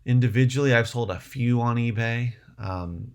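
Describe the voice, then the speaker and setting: A man's voice: medium pitch, moderate pace, smooth. A man hosting a casual livestream, answering viewer questions in a rambling way, thinking aloud as he goes. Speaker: livestream host.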